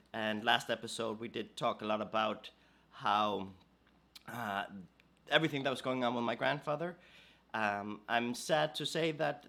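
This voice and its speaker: A man with a hoarse, cold-scratched voice hosts a podcast in an easy, conversational way. He is neither high nor low in pitch, and he stumbles through fillers and repeated words as he gathers his thoughts. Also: fairly bright tone. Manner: hesitant, many fillers and restarts. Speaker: podcast host. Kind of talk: casual podcast talk.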